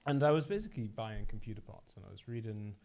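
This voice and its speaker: A man from Leeds, a founder guest on a podcast, telling his story in a reflective, measured way. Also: lowish voice, expressive, creaky, a little mellow. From Leeds, England. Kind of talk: casual podcast conversation.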